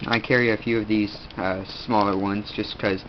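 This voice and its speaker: Stuffy teen boy, lowish voice, halting and full of ums, muffled lo-fi recording. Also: little pitch movement. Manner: rambling, halting, many fillers. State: stuffed-up nose, sniffing. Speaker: video host. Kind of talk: casual gear show-and-tell. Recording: dull lo-fi audio, faint room noise.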